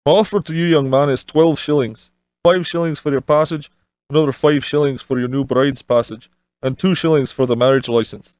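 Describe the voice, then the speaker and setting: A man voicing a crafty, smooth-talking Scottish sea captain in an audio storybook, with wheedling salesman's patter. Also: medium pitch, fairly even intonation, fairly quick, darkish tone, dry recording, lightly acted. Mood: sly, persuasive. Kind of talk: audiobook character dialogue.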